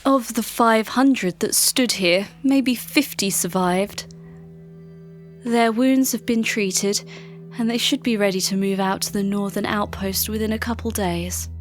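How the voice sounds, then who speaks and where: A woman playing a grieving, gentle healer in a fantasy audio drama, earnest and emotional in formal speech. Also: medium pitch, unhurried, soft background music, lightly acted. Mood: grim, weary. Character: grieving female healer warrior, gentle, questioning, anguished.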